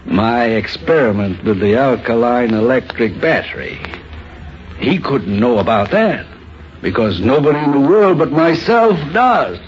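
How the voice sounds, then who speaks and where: An actor playing a sceptical, commanding scientist and inventor in an old-time radio drama, speaking with emphatic demands. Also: male voice, medium pitch, animated, unhurried, slight background noise, close to an everyday voice. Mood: musing. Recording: dull old radio recording, music behind.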